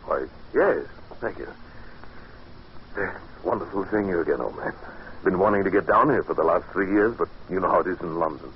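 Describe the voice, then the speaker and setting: An earnest English gentleman telling an eerie tale in 1940s radio style. His theatrical delivery rises and falls dramatically on a slightly low voice. The old recording is dull, with faint noise. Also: animated, brisk, long pauses. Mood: genial. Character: John Buchan, earnest English businessman narrating an eerie tale.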